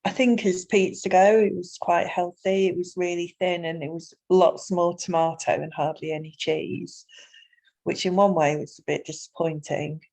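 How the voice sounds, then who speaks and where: A woman's voice: on the low side, steady tone, moderate pace, darkish tone. A woman on a coaching call giving a plain, matter-of-fact update. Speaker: coaching client. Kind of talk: casual group coaching call.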